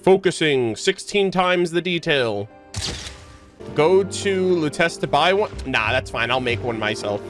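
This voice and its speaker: Animated man streaming games, slangy. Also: fairly high pitch, a little slow, faint music behind. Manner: expressive, slangy, swearing. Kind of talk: casual game reaction commentary.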